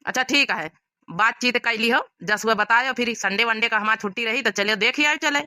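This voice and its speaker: A woman plays a lovestruck young village man in an Awadhi comedy cartoon. The voice is fairly high, sharp and dry, with an Awadhi accent and an eager, gushing folksy delivery. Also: fairly quick, no echo. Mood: casual, dutiful.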